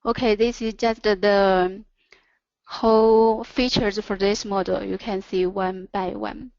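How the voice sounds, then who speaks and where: A woman who speaks English as a second language presents a product in a webinar, haltingly, pausing between short phrases. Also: medium pitch, steady tone, creaky, a little mellow, dry recording. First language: not English. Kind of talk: product presentation over slides. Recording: dull, lo-fi online audio.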